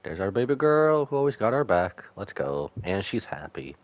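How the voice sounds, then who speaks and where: A man's voice: fairly low pitch, very animated, normal speed, no echo, lo-fi sound. A man giving casual live reactions as a game streamer, slangy and irreverent, talking back to the game.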